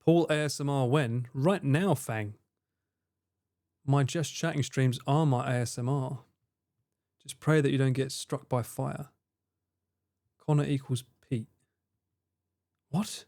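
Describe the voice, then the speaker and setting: A man's voice: mid-range voice, takes it slowly, pauses often, darkish tone, no room sound, clean studio sound. A man reacting to viewer clips on a live stream, chatting loosely with his audience, laughing easily and swearing casually.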